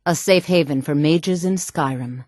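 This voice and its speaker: A proud senior sorceress who guards a mages' college in a fantasy game. Her voice sits low and sultry. She speaks formally and with measured, lofty composure, in a very dry recording. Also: darkish tone, no echo. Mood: stately, assured. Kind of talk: fantasy video game voice acting.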